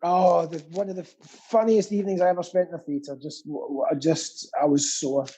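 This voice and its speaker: Guy co-hosting a quiz, raspy mellow voice, chatty and dryly jokey. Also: on the high side, fairly even intonation, moderate pace. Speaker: quiz co-host. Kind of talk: casual comic banter.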